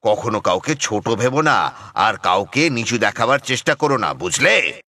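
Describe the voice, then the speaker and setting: A man voicing a moralizing male fox in a children's animal fable, speaking in a measured, admonishing way. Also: on the low side, very animated, bright, forceful tone, heavily acted. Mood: stern, admonishing. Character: moralizing male fox lecturing a proud hare.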